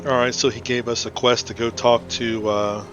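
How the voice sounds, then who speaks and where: A man's voice: mid-range voice, level tone, normal speed, dull audio, music in the background. A man hosting a playthrough, giving casual, informal commentary on his game. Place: voice-over on game footage, music faintly behind.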